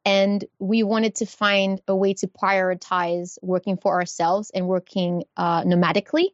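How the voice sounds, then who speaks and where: A woman in her thirties from Haiti, a guest speaking in a relaxed, reflective way with easy laughter. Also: mid-range voice, moderate pace, no room sound. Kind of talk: conversational interview. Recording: dull dry remote line.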